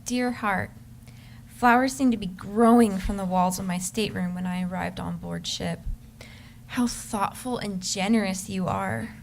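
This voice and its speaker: Yearning, adoring wife, played by a woman, unhurried and ardent, creaky edge, stage reading with slight background noise. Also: mid-range voice. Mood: tender, grateful. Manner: ardent, measured, with stumbles in reading. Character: yearning, adoring pregnant wife writing to her husband. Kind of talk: dramatic reading of a love letter on stage.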